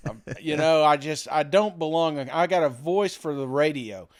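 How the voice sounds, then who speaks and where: A man's voice: a little high, moderate pace. A man co-hosting a casual sports podcast, talking in a folksy, rambling, self-deprecating way. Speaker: podcast co-host.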